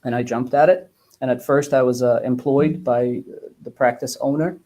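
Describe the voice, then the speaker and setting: A man in his thirties giving measured, casual interview answers with hesitant pauses. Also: medium pitch, fairly even intonation, mellow. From Bosnia, grew up in Germany and the United States. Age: 30s. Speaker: guest, dentist.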